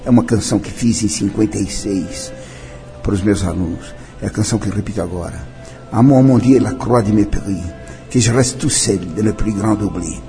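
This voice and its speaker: An older man speaks, his voice low-pitched and mellow. He talks in an interview with a solemn, declamatory manner, slipping now and then into other languages. Faint music plays behind him. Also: moderate pace. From Brazil. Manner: solemn, declamatory, mixing in French and Italian phrases. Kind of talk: interview, personal reminiscence.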